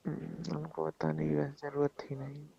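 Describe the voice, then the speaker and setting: A man's voice: a little high, sing-song, normal speed, gravelly, breathy edge. A young man in a casual private phone chat, talking in a teasing way with short questions. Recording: dull lo-fi phone call recording.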